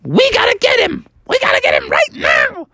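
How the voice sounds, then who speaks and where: A man's voice: huge pitch swings, normal speed, gravelly, pushed and bright, no echo, clean studio sound, full character voice. A man voicing an eager, whiny young frog character in a bedtime story. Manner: high, whining, eager. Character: excitable young frog buddy.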